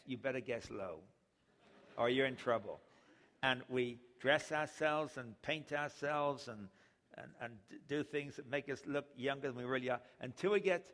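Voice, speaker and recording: A man's voice: medium pitch, fairly bright tone. An older man from England, preaching a sermon with humorous storytelling. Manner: humorous storytelling, chuckles at own jokes. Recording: long hall reverb.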